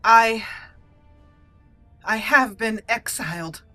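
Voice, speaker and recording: A woman's voice: a little high, slow pace, sharp tone, no echo, faint music behind. A woman voicing a proud, wounded dwarven noblewoman in exile, speaking formally and earnestly in a game roleplay. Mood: grave, formal.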